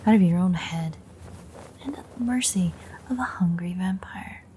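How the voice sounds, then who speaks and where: A woman voicing a bitter, threatening female vampire in an audio roleplay, sardonic and foul-mouthed, in a breathy, creaky murmur. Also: on the low side, normal speed, warm tone, slight background noise, close to an everyday voice. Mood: angry, taunting. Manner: sardonic, profane, taunting. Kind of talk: intimate audio roleplay monologue.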